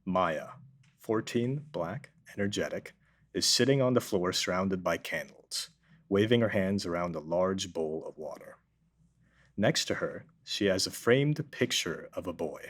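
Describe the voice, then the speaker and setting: Low-voiced man narrating a script. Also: expressive, measured pace. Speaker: table read narrator. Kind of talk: scripted narration, reading stage directions. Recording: noticeable background noise.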